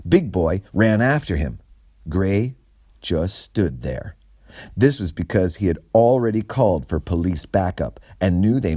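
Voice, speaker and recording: A man reading a children's mystery book aloud in a slow, expressive manner. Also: low-pitched, no room sound, clean studio sound. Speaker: storyteller.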